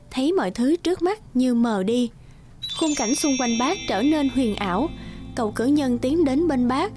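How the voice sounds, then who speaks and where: A woman narrating a scripted fairy tale for children. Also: fairly high pitch.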